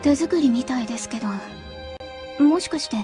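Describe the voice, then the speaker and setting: A woman playing a gentle young cat-like companion in a Japanese radio drama, speaking softly, politely and thoughtfully. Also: sits high, normal speed, music in the background, natural voice. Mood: gentle, curious. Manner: soft, polite, thoughtful speech.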